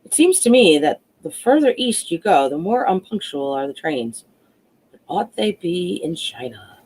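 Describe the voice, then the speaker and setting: A woman reading a classic novel aloud as an audiobook narrator, expressive but a little halting in places. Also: lowish voice, low-quality recording. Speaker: audiobook reader.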